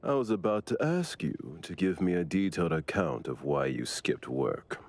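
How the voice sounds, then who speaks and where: Very deep, gravelly, stern investigator. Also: male voice. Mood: dry disapproval. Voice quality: gravelly low voice. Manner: measured, deadpan, formal. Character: stern male colleague, formal, dryly disapproving investigator. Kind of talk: anime video game voice acting.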